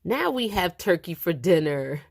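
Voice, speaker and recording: A woman's voice: fairly low pitch, big pitch swings, measured pace, gravelly, heavily acted. A woman voicing a teasing, comically grumbling old grandfather in a children's storybook. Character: grumbling, joking old grandfather with Spanish phrases.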